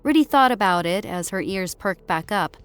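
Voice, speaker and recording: Female audiobook narrator, medium pitch, scripted storytelling, close dry recording, music bed. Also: animated, normal speed, clear, resonant tone, no echo.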